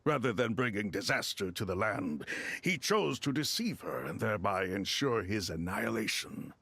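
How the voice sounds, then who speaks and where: A man playing a solemn, learned desert god in a video game, speaking formally in a gravelly, measured way. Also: on the low side, lively intonation, fairly bright tone, no room sound, full character voice. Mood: solemn, reflective. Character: solemn, learned male desert god loyal to his king.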